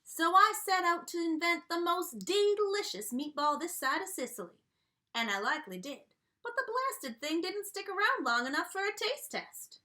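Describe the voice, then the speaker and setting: A woman's voice: extremely high pitch, lively intonation, moderate pace, bright, forceful tone, exaggerated voice. A woman voicing a folksy, cheerful male dog who runs a restaurant, telling his tale theatrically in a children's read-aloud. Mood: dramatic. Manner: theatrical, exclamatory, down-home country talk. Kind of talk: expressive children's read-aloud with sung refrains.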